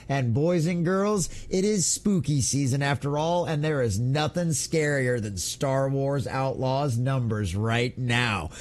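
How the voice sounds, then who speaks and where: High-pitched man, emphatic gaming commentator. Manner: emphatic, theatrical, mocking. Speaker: gaming news commentator and channel host. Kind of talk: opinionated news commentary to an online audience.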